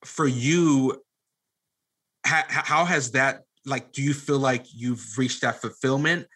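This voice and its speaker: A man speaking as a podcast guest in casual conversation, haltingly repeating words as he works out his questions. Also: medium pitch, fairly even intonation, fairly bright tone, husky.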